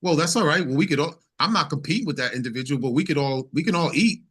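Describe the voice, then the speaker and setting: A man's voice: fairly high pitch, lively intonation, fast talker, a bit bright, breathy edge. A man speaking as a singer-songwriter guest in a casual interview, emphatic and repeating phrases as he builds his points.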